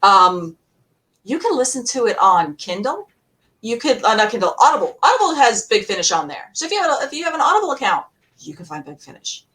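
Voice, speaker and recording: A woman panelist talking casually and enthusiastically about audio dramas in a rambling, animated way. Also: fairly high pitch, brisk. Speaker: podcast panelist. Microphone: distant computer mic on a virtual panel. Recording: distant microphone.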